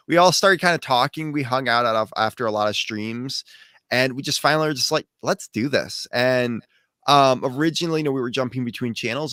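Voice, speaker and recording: Man, mid pitch, earnest halting chat, dry sound. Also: a bit bright, no room sound. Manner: earnest, halting with many fillers. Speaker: co-founder of a livestream show. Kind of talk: casual livestream chat.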